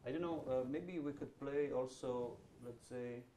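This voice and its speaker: A man's voice: medium pitch, a little slow, warm tone, slight background noise. A man moderating an onstage conversation, chatty, with many fillers and false starts.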